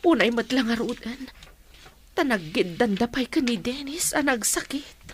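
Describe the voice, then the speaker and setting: A woman's voice: on the high side, expressive, moderate pace, slight background noise, lightly acted. An actress playing a caring, sorrowful mother of an ailing daughter in a melodramatic radio drama. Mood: resolute, sad.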